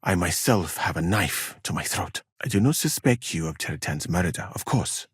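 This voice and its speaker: Husky, erudite medieval physician. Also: male voice, low voice, expressive, no room sound, exaggerated voice. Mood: calm, explanatory. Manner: formal, measured, precise diction with dry irony. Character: learned West African physician, erudite, dryly witty, well-travelled scholar. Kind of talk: video game character dialogue.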